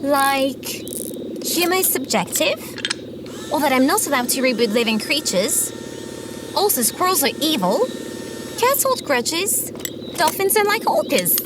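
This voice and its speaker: Earnest kid-like robot girl, high voice, literal and eager, noisy background, audio drama. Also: very animated, unhurried, pauses often. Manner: literal-minded, naive, eager. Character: childlike, earnest, chirpy female service robot. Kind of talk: scripted audio drama acting.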